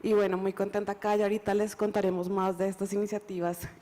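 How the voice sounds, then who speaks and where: A woman from Colombia introducing herself on a panel in a composed, even manner. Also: mid-range voice, quick pace. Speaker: panelist, co-director. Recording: reverberant hall.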